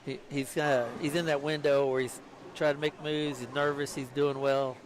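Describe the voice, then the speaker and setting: A man calling a bowling tournament in a relaxed, joking way, often breaking into laughter. His voice sits a little high. Steady noise from the venue runs behind him. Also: moderate pace. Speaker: bowling commentator. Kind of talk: casual live commentary.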